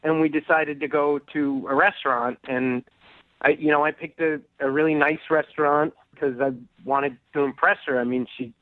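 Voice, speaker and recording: Over a dry, narrow phone connection, a male caller talks to a radio show. His voice sits a little high for a man, and he tells things haltingly, nervous and quick to apologise. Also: fairly even intonation, dry recording. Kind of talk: casual, halting storytelling. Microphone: phone call. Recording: narrow, tinny phone line.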